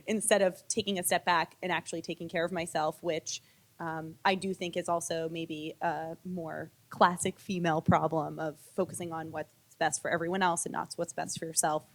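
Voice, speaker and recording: Candid low-ish woman panelist. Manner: candid, personal, conversational. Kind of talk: panel discussion. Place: public panel event.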